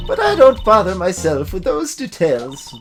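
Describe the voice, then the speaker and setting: A fussy old woman played by a man working as a security guard in a video game. The voice swings up high and moves around a lot, rambling on with great self-satisfaction, over background music. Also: huge pitch swings, normal speed. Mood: chatty, fussy.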